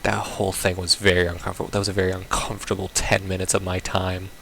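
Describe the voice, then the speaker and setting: A man's voice: low-pitched, completely flat delivery, fast talker, creaky, sharp tone, slight background noise. A man giving casual, baffled, sweary commentary as he plays through a visual novel. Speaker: gamer playing a visual novel. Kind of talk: casual playthrough commentary, reading game text aloud.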